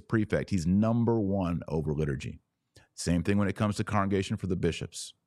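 Male podcast host, middle-aged, deep voice, dry close-mic sound, emphatic deliberate delivery. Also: darkish tone, dry recording. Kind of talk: religious commentary podcast. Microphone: close studio microphone.

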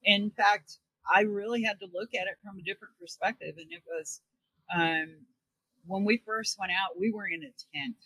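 Female guest, mid-pitched and bright, animated conversational storyteller, very dry sound. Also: normal speed, breathy edge, dry recording, poor audio. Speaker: radio show co-host as guest.

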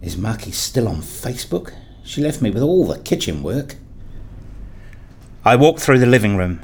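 A man acting as a married father who tells his own story in a radio play. His voice sits fairly low, and he delivers the scripted narration in a measured, reflective manner, wistful and a little fussy. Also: sing-song, darkish tone, breathy edge, exaggerated voice. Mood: resigned.

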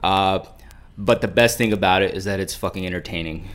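A man's voice: deep voice, fairly quick, a bit bright. An American man hosting a podcast, chatting casually in informal, slangy language with plenty of swearing. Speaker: podcast host. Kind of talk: casual chat interview.

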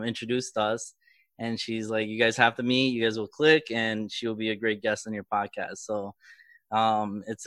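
Brief: male podcast host, crisp and forceful tone, friendly and a bit hesitant, dry studio sound. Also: mid-range voice, no echo. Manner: friendly, hesitant, many fillers. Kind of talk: casual interview chat.

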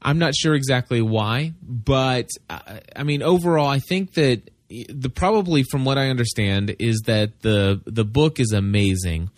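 A man's voice: mid-range voice, measured pace, few pauses, no room sound, studio quality. A man leaving recorded feedback, an informal movie review that he thinks through aloud and hedges.

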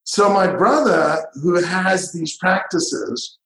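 Middle-aged male entrepreneur guest, emphatic and repetitive, somewhat high-pitched, roomy echo. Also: a bit bright. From South Africa, raised in Israel. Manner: emphatic, repetitive, drawn-out phrasing. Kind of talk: interview conversation. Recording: echoey room.

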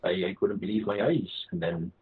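A man with a raspy voice rambling through a silly comic monologue and playfully switching accents. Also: deep voice, brisk. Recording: tinny phone-like line.

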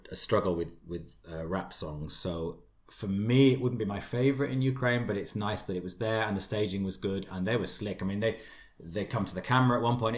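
A man chatting casually as a podcast panelist, reviewing songs with emphatic, effusive repetition. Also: lowish voice.

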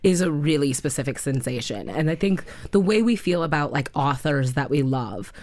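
A woman's voice: low-pitched, animated, moderate pace, a little mellow. A woman interviewer, also a writing teacher, asking thoughtful, probing questions in a casual way.

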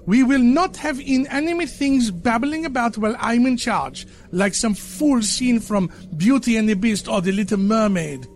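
A man voicing a bitter, sarcastic, charred ghost ballerina in a horror story, with a shrill, mocking, scolding manner. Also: normal speed, few pauses, a little hiss or hum.

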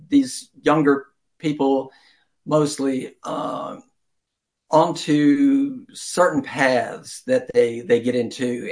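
An older man answering interview questions as a writer, in a rambling, self-deprecating, chuckling conversational way. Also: medium pitch.

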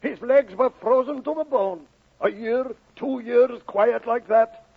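An actor playing a grave village doctor with a creaky, raspy, high voice, in 1940s radio style. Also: male voice, measured pace, full character voice. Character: grave, fussy village doctor giving grim verdicts. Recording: thin, phone-like old radio recording.

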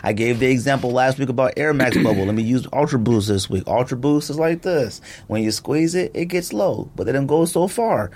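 A man hosting a podcast in casual, joking banter, animated and slangy, often repeating himself for emphasis. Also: medium pitch, fairly quick, few pauses. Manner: animated, jokey, slangy and repetitive. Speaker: podcast host. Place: podcast studio, indoors.

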